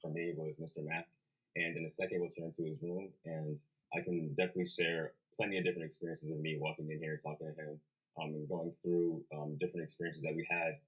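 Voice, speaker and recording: A young man, an alumnus, leading a relaxed tour with frequent pauses. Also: a bass voice, breathy edge, rough recording. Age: young adult. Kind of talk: casual tour narration.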